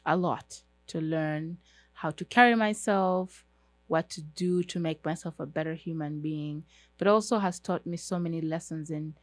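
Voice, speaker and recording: A woman's voice: lowish voice, no room sound. A young adult woman from Uganda, hosting a solo podcast about herself, speaking earnestly with frequent fillers and restarts. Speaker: podcast host.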